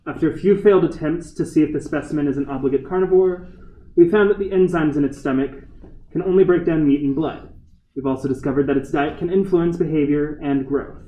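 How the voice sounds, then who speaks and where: A man's voice: fairly high pitch, fairly quick, warm tone, reverberant, lightly acted, low-quality recording. A man playing a ruthless, domineering scientist in an amateur radio play, speaking in a stern, commanding way. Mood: clinical. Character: ruthless, domineering male military scientist, cold and obsessive.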